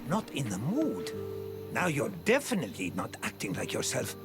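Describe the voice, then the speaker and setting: A man's voice: mid-range voice, wildly swooping pitch, normal speed. An actor playing a fussy, learned older man in a video game cutscene, questioning and earnest. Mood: concerned. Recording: loud music behind the voice. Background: music.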